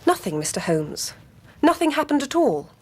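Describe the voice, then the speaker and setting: An actress playing a guarded, indignant Victorian gentlewoman with an English accent, clipped and very formal in a British radio drama. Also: high-pitched, sing-song, normal speed, background noise. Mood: defensive.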